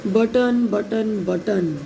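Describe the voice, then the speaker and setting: A man's voice: high-pitched, drawn-out words. Man with a sore throat, chatting over gameplay. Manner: chatty, talks straight to viewers, frequent throat-clearing. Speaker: gameplay video creator. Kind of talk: casual gameplay commentary. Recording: noisy recording with clicks.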